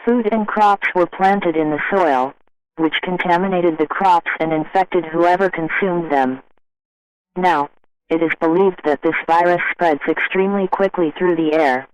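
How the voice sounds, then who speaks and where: A man playing a formal, grave emergency broadcast announcer, reading in a measured and impersonal way. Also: high-pitched, clean tone, no echo, dull audio.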